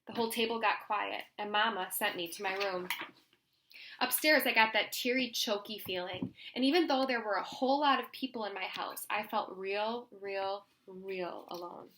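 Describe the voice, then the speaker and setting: A woman teacher reading a children's story aloud in an expressive, instructive way, pausing to put questions to listeners. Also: mid-range voice, a little hiss or hum.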